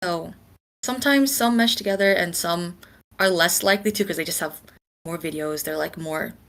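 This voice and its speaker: A woman's voice: fairly low pitch, moderate pace, gravelly, a bit bright. A woman on a podcast panel chatting casually and tentatively, thinking aloud as she answers. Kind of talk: casual chat.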